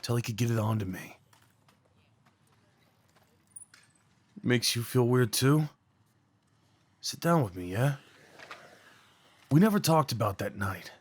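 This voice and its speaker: A man playing a remorseful young former delinquent tenderly confessing old love in an intimate roleplay audio drama, speaking haltingly and earnestly. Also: medium pitch, lively intonation, breathy edge. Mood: nervous, tender. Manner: halting, confiding, emotionally earnest.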